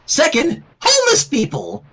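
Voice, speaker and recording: High bubbly teenage cartoon girl, put on by a story reader, chirpy, exclaiming, swooping pitch, faint noise. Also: huge pitch swings, measured pace, exaggerated voice. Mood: playful announcing.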